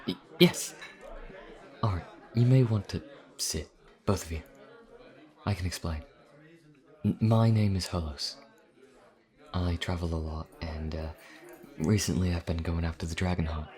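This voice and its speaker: An actor playing a nervous, earnest vampire traveler in a fantasy audio roleplay, speaking hesitantly with stammers. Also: male voice, low-pitched, steady tone, a little slow, long pauses, a little mellow. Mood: earnest warning.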